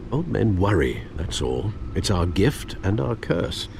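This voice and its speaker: An elderly butler in a video game. His lowish voice moves with lively swings, formal and dry, gently scolding like a worried father. A clear rumble of ambience sits behind him. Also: slow pace, full character voice. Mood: worried, caring. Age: older.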